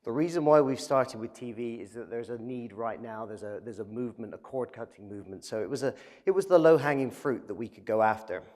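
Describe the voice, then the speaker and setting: English-accented man pitching, reverberant hall. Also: fairly quick, hardly any pauses, darkish tone. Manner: conversational with frequent uh fillers. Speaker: startup founder presenting. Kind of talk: business pitch presentation.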